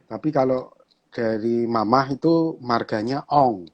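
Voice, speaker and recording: A man with a Javanese accent, a pastor talking casually and relaxed in an online conversation, chatty and quick to laugh. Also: medium pitch, highs cut off. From Java, Indonesia.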